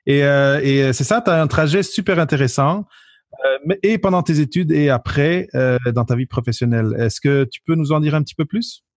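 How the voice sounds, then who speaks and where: A man's voice: a little high, a little fast, no echo, clean studio sound. A man hosting a podcast, introducing the show and his guest and asking questions in a friendly, enthusiastic way. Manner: enthusiastic, friendly, some fillers. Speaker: podcast host.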